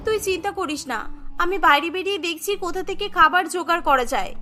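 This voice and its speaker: Poor worried cartoon mother, played by a woman: pleading, anxious, very high and bright. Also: fast, soft background music. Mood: worried, tender. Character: poor, worried village mother pleading for her hungry son.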